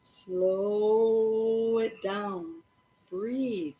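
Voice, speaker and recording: Woman reading as a slow, steady storybook dad. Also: medium pitch, slight background noise, low-quality recording. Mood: calm coaching. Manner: measured, firm, coaching. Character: steady, instructive father, a cop, advising his son. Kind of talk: children's storybook dialogue.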